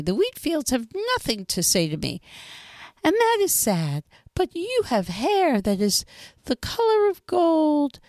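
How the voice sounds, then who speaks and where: A gentle, lonely male fox from a storybook, as a woman puts him on, pleading to be befriended. The voice sits very high and swoops in a lively sing-song. It sounds close and dry. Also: no echo. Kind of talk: storybook reading.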